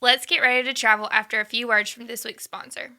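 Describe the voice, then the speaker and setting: A woman's voice: fairly high pitch, flat delivery, fast talker, very noisy. A woman co-hosting a podcast in casual chat, prompting stories and laughing along. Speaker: podcast co-host.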